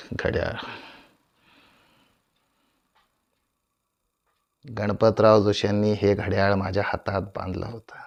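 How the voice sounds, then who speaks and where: Slow, gravelly man playing proud aging stage tragedian. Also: on the low side, flat delivery. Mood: wistful, apologetic. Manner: grandiloquent, deliberate, with dramatic pauses and repetitions. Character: proud, aging veteran Marathi stage tragedian addressing his audience. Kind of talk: theatrical stage monologue, declamatory Marathi drama.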